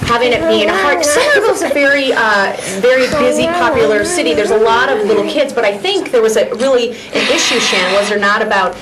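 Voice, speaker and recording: A woman hosts a casual local TV talk show, joking and teasing her young guests. Her voice sits a little high. Children's chatter is clearly heard around her in the small studio. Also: animated, fast talker, few pauses, poor audio. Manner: playful, teasing, jokes with kids. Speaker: host.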